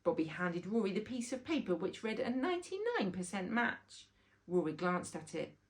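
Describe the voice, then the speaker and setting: A woman narrates a children's storybook. Her reading is expressive, with a mid-range voice and plenty of lively rise and fall. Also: medium pitch, moderate pace. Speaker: children's author reading aloud.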